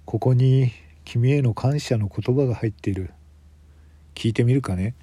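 Man playing a grave, husky police detective. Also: medium pitch, warm tone, no echo, lightly acted. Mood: solemn. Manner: measured, sighing, hesitant. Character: grave, weary male police detective questioning a suspect. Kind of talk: radio drama acting.

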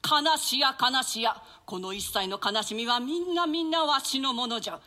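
A man's voice: very high-pitched, moderate pace, sharp tone, heavily acted. A man playing an elderly royal mother in a classical stage tragedy, speaking in archaic, declamatory phrases and full of grief. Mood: grieving, wailing. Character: grieving elderly royal mother, proud and lamenting. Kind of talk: theatrical classical Japanese play reading.

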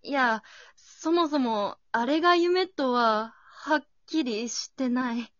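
A woman playing an anxious, bewildered high school girl in an amateur radio drama, thinking aloud in exclamations. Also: takes it slowly, dry recording, muffled recording. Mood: puzzled, subdued.

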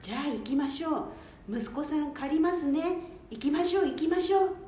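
Woman as high-pitched, kind care manager, echoey. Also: lively intonation, brisk, mellow. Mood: encouraging. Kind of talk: amateur radio drama acting. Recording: very echoey room, faint rumbling noise.

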